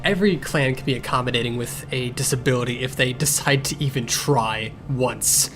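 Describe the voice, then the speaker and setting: A man's voice: mid-range voice, moderate pace, lightly acted. A man voicing a grumpy, sarcastic blind young cat healer in a fan Q&A, with a curt, irritable and defensive manner. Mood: firm, dry. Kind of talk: improvised in-character fan Q&A. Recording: music behind the voice.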